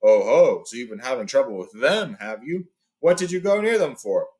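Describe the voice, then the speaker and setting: Man voicing a gruff, growling giant bear-man. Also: medium pitch, big pitch swings, normal speed, darkish tone, lightly acted. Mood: bluntly curious. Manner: blunt, curt questioning. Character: huge gruff skin-changer man, part bear, suspicious and blunt. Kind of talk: storybook read-aloud character.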